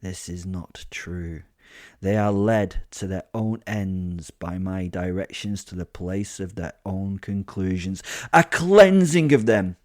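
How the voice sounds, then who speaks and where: A man's voice: low-pitched, takes it slowly, no room sound, clean studio sound. A man voicing a cruel, centuries-old werewolf confessing in a melodramatic monologue for a horror-comedy audio drama. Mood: righteous, grandiose. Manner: melodramatic monologue, from weak whispers to loud crescendos.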